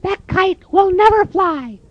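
A man's voice: very high-pitched, expressive, slow pace, lo-fi sound, pitched above the performer's own voice, exaggerated voice. A man voicing three mocking little robins in a storybook, with a tittering manner.

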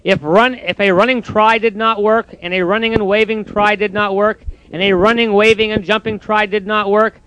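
A man's voice: high-pitched, flat delivery, normal speed, few pauses, fairly bright tone, smooth, pitched above the performer's own voice, rough recording. A man voicing a patient, encouraging frog in a storybook, with an upbeat, coaxing manner.

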